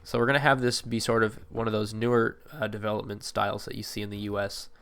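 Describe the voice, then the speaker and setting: A man talks through his game in a smooth, fairly low voice that sounds a little stuffy from allergies. He rambles casually, correcting himself and filling pauses with "uh". Keyboard typing comes through faintly behind him. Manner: relaxed, rambling, many fillers and self-corrections. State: slightly congested from allergies. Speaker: gameplay video creator. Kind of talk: casual gameplay commentary.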